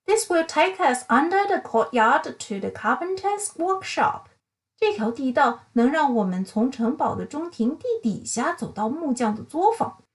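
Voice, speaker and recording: A keen young knight from a storybook, read by a woman, sounding cheerful and confident. His voice sits high and bounces around freely. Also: expressive, normal speed. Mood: eager. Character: young male knight Radius, eager and helpful.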